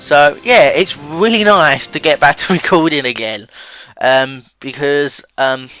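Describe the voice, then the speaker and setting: A man's voice: fairly high pitch, unhurried, fairly bright tone, dry recording, soft background music. A young man hosting a let's play with casual, self-deprecating game commentary. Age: young adult. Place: voice-over with game music behind.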